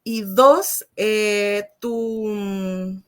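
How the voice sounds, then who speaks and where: A Chilean woman speaking as a guest writer in a live interview, explaining her views in a measured, thoughtful way. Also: medium pitch, steady tone, fairly bright tone.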